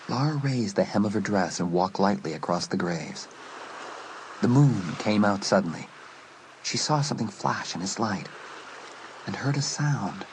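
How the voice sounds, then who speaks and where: A man's voice: lowish voice, a little hiss or hum, low-quality recording. A man narrating a horror audiobook in a suspenseful, dramatic storytelling manner. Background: loud music.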